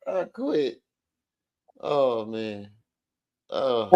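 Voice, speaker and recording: A man's voice: mid-range voice, sing-song, very slow, darkish tone, dull audio. A man giving casual, slangy reaction commentary as a show host, sounding amused.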